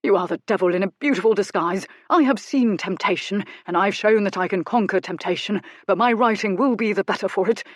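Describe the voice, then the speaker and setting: A woman voicing a pompous, self-righteous Regency gentleman writer who speaks with indignant force. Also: mid-range voice, fairly quick, hardly any pauses, no room sound, clean studio sound, natural voice. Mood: outraged.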